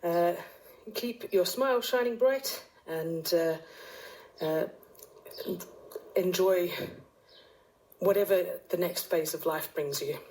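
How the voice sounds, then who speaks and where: A woman's voice: lowish voice, slow pace, pauses often, darkish tone, poor audio. A woman musician giving a personal recorded message of congratulations and good wishes. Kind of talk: recorded well-wishing message.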